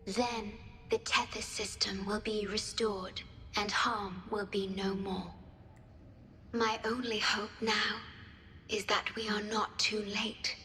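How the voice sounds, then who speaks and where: An actress playing a gentle, solemn and devoted female guardian in a video game, speaking formally in measured, breathy phrases. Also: medium pitch, level tone, echoey room, a little hiss or hum. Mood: calm, earnest. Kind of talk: scripted video game cutscene acting.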